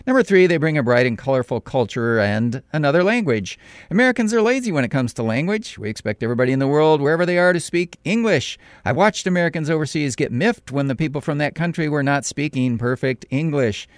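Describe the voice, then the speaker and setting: Lively, emphatic male radio commentator. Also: dry recording, clean studio sound. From California. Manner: deliberate, emphatic, with sudden pitch rises on key points.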